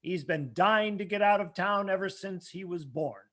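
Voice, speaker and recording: A man's voice: high-pitched, moderate pace, fairly bright tone. An actor playing a domineering, scheming rich old businessman in an old-time radio play, imperious and condescending. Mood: smooth condescension.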